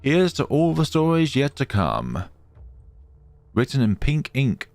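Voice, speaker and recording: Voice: mid-range voice, wildly swooping pitch, slow pace, darkish tone. A gamer giving casual commentary, reacting and reading game text aloud expressively, close to the microphone. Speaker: gamer, let's player.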